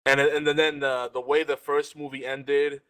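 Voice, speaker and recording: A man's voice: fairly high pitch, little pitch movement, moderate pace, fairly bright tone, smooth, low-quality recording. A man chatting casually as a podcast panelist, informal and sweary, acting out little quotes as he goes. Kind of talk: casual chat.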